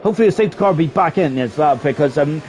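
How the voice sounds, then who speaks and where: A man giving live sim racing commentary in a chatty, excitable way, often ending sentences with tag questions. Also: a little high, rapid-fire. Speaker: esports race commentator.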